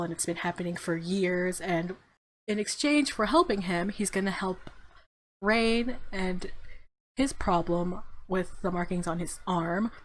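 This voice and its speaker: A woman's voice: medium pitch, poor audio. Giggly woman gamer over background noise. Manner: giggly, reactive, easily spooked, slangy. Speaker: gaming video host, let's player. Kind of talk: casual let's play commentary with visual novel read aloud.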